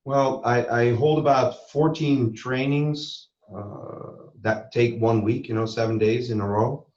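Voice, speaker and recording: Male interviewee, medium pitch, conversational delivery, slightly muffled sound. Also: steady tone, slow, a little mellow. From Switzerland. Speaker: interview guest, hypnosis trainer and school owner. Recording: roomy echo, slightly dull sound.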